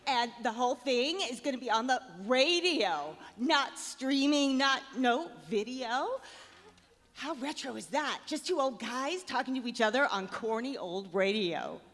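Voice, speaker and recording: A woman's voice: sits high, animated, a little slow, fairly bright tone, exaggerated voice. A woman playing a gossipy, mocking young hipster clerk with emphatic slang in a comic radio drama. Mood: amused, mocking. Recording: live stage show, reverberant hall.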